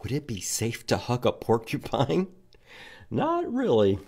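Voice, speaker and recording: A man in his fifties reading to young children as an animated library storytime host. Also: medium pitch, measured pace. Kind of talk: children's storytime read-aloud. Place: indoors in a library room.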